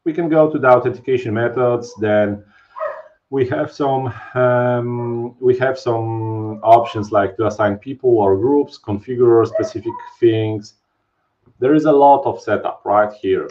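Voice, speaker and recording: A man's voice: medium pitch, measured pace, a little hiss or hum. A man in his thirties with a Polish accent, presenting a live technical webinar from home over a webcam, explaining casually with many fillers and tag questions. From Poland. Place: home office, indoors. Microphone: webcam video call. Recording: dull, lo-fi stream audio.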